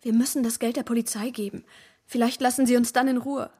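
An actress playing an anxious, insistent young woman in a radio crime drama, with a breathy, husky voice. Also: a little high, flat delivery, quick pace, warm tone. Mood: worried, insistent. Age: young adult. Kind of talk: naturalistic radio drama dialogue.

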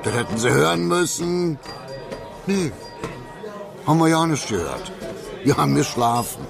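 An actor playing a boastful, chummy small-time crook with a Berlin accent in a radio drama, colloquial and swaggering. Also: male voice, fairly high pitch, animated, a little slow, creaky. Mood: boastful storytelling. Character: boastful, chummy Berlin crook spinning tales and hawking goods. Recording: music behind the dialogue.

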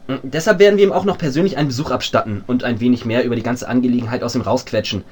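A man's voice: medium pitch, racing, close to an everyday voice. A man playing a bossy, self-important teenage boy detective in a parody radio play, with a pompous, deliberating delivery. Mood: commanding.

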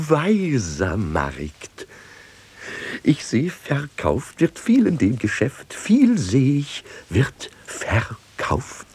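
Husky, swooping shrewd peasant. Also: male voice, mid-range voice, a little mellow, heavily acted. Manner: theatrical, wry, swinging from plain talk to proclamation. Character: shrewd, stubborn peasant man mocking priests, longing for peace. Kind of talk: classical stage comedy in radio play.

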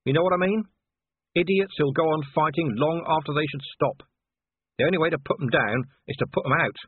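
A man's voice: fairly high pitch, a little fast, breathy edge, dry recording, phone-quality sound, pitched above the performer's own voice. A man voicing a jumpy, grumbling city watch commander in an audiobook, blunt and exasperated. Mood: tense, insistent.

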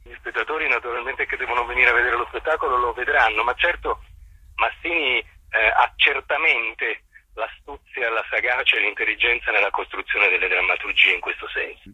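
A man giving a radio interview as a theatre director, speaking in a measured, halting way with elaborate, formal phrasing. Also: medium pitch, sharp tone, breathy edge, dry recording, lo-fi sound. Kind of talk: radio interview, explanatory talk.

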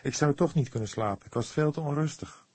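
A man's voice: a little high, a little fast, darkish tone, dry recording, voice pushed up, natural voice. A man voicing a firm, earnest and defiant young woman in an audiobook. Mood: calm, earnest. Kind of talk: audiobook character dialogue.

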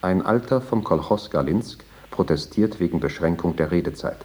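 Low husky guy narrating a documentary, terse and matter of fact. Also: lively intonation, moderate pace, dark tone.

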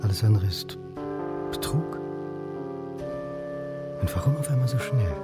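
An actor playing a wry, wary middle-aged history lecturer in naturalistic radio drama dialogue, dry and sardonic. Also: male voice, on the low side, animated, a little slow, over loud music. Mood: suspicious, sceptical.

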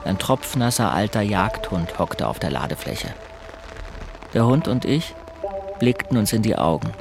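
An actor playing a male narrator who recalls his rural boyhood in measured, observant literary storytelling for an audio drama. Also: on the low side, sing-song, vocal fry, over music, full character voice. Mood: reflective.

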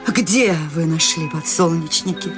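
A woman's voice: fairly low pitch, over loud music, natural voice. Woman playing a serene, whimsical old lady. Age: 80s. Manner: calm, gently amused, unhurried. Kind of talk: radio play acting.

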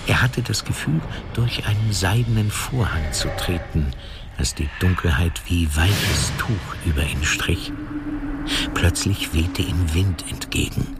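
A man's voice: sits low, unhurried. A man delivering scripted dramatic narration for a fantasy audio drama. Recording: loud music under voice.

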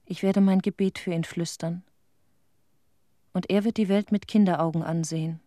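An actress playing a haunted, tender middle-aged widow in a radio play, speaking in halting short phrases of her dead husband. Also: lowish voice, steady tone, dark tone, no room sound, clean studio sound, lightly acted. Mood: desolate, resigned. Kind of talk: intimate radio play monologue.

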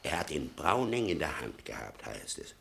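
An actor playing an embittered old father in a German radio drama, gravelly, muttering and brooding with sudden outbursts. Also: very deep voice, wildly swooping pitch, normal speed, a bit bright, exaggerated voice. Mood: bitter, agitated. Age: older. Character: embittered old father obsessed with his convicted son.

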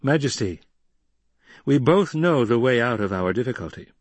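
A man voicing a defiant, coldly angry young heir in a forceful, imperious, declamatory way. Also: mid-range voice, animated, moderate pace, no echo, voice pushed up, lightly acted. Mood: cold command.

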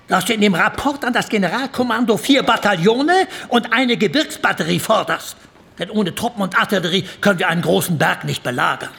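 A man's voice: sits high, creaky, fairly bright tone. A male actor playing an irascible Ottoman major in a radio drama, haughty, commanding and emphatic. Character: irascible Ottoman major scolding his subordinates.

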